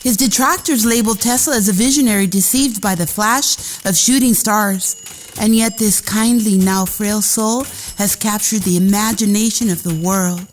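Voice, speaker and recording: A woman plays an inquisitive lady reporter of the 1930s in a radio play. Her pitch is middling and her delivery scripted, with faint noisy ambience behind her. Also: lightly acted. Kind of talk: radio theater acting, scripted narration.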